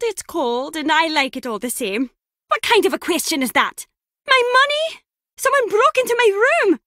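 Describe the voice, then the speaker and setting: High, animated, nosy young woman. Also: no echo, clean studio sound. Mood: chirpy. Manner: chatty, blunt, excitable. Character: nosy, awkward, excitable young woman, friendly traveler. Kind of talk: video game voice acting.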